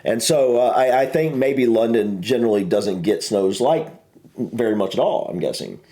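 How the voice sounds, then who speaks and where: A man's voice: medium pitch, animated, normal speed, dark tone. American man with a fading voice. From United States. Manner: rambling, hesitant, lots of fillers. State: losing his voice. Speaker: reaction video host. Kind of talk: casual reaction chat.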